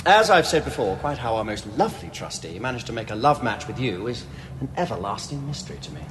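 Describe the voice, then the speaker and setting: An actor with an English accent playing a pompous, sardonic, snobbish wartime art curator in a period audio drama. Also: male voice, medium pitch, sing-song, quick pace, over music, lightly acted. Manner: haughty, sneering, theatrical.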